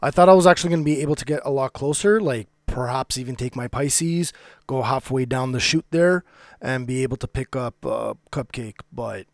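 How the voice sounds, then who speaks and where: A man's voice: medium pitch, a little fast, dry recording, clean studio sound. A man casually commenting on his gameplay and chatting with a friend. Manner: chatty, talks to friends over voice chat. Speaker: gaming channel host.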